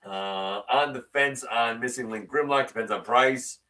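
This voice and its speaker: Bright-voiced man hosting a live chat. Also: measured pace, far from the mic. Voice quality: sometimes a little raspy. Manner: opinionated, ranting with rising pitch when worked up. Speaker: host reading viewer comments. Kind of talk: casual live-stream chat.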